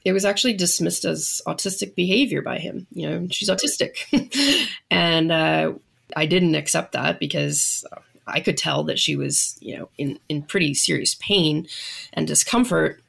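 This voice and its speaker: A middle-aged woman with a Canadian accent, telling her story in a measured, reflective, conversational way as an interview guest. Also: low-pitched, gravelly, fairly bright tone, slightly rough. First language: English.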